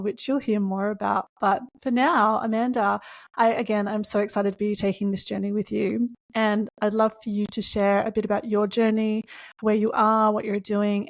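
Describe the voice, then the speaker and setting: A middle-aged woman with an Australian accent, co-hosting a podcast in an earnest coaching manner. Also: medium pitch. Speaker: podcast co-host. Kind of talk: casual podcast chat.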